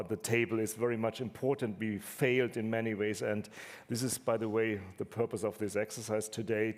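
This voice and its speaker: A man moderating a conference panel, posing questions with some fillers. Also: fairly low pitch.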